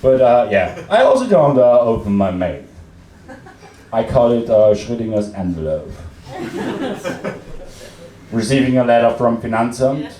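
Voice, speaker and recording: A young man from Austria with an Austrian accent, German his first language, performing a deadpan, self-mocking stand-up set with many fillers and restarts. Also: mid-range voice, slow pace, long pauses. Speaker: stand-up comedian. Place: comedy club stage. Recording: roomy club sound from a distant mic, loud crowd.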